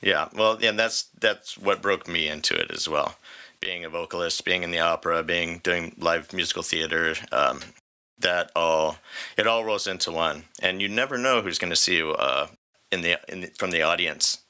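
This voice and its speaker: A man's voice: low-pitched, normal speed, pushed and bright. A man co-hosting a podcast in informal, joking conversation, recorded in a studio. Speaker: podcast co-host, singer and voice actor. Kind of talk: casual podcast chat.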